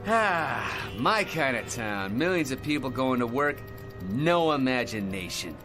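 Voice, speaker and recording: An actor playing a brash, boastful American dreamer with cocky hustler patter in a theatrical radio drama style. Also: male voice, medium pitch, big pitch swings, a little slow, over music. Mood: smug scheming. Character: brash, boastful man, a scheming big-talking dreamer.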